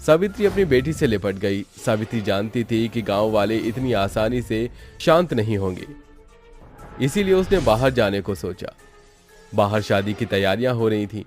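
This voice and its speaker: A man's voice: on the low side, expressive, moderate pace, a little mellow, faint music behind. A man narrating a scripted horror story for a cartoon.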